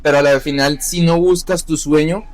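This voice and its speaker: A young adult man, a chef, talking candidly about his life in a halting, self-correcting way. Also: fairly high pitch, steady tone, dry recording. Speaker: chef, podcast guest. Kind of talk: candid personal conversation.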